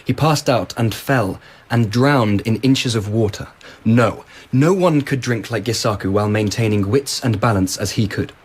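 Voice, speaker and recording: A curious, genial emperor. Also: male voice, lowish voice, moderate pace, few pauses, slightly rough. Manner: genial, commanding and wondering. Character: curious, easily delighted male emperor. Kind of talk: radio drama acting.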